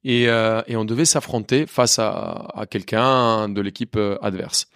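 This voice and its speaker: A man's voice: fairly low pitch, measured pace, clear, resonant tone, no echo, studio quality. A man in his thirties from Colombia with a faint Spanish accent, telling his story in a candid interview, full of restarts and hesitations. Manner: lively, many restarts and hesitations. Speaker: chef guest.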